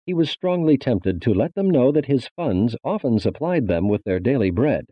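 A man reading a scripted religious narration in a formal, measured manner. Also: medium pitch, big pitch swings, no echo. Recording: muffled phone-like band-limited audio.

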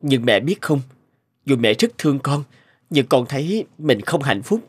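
A man voicing a dutiful but stifled young adult son in an animated moral tale, speaking earnestly and politely with a plaintive edge. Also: medium pitch, unhurried, soft background music. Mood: downcast.

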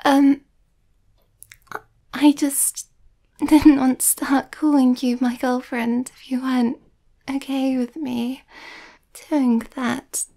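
Shy young werewolf girlfriend played by a woman for ASMR roleplay: high, mellow, hesitant and often half-whispered. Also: little pitch movement, slow pace, pauses often. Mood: nervous, tender.

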